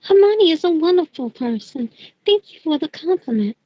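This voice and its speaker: A woman performs a kind teenage witch with a high voice, earnest and affectionate. The audio drama recording is close and dry, a little dull in tone. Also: lively intonation, normal speed, no echo. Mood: firm, earnest. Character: kind, sweet, principled teenage witch girl. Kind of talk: fan audio drama acting.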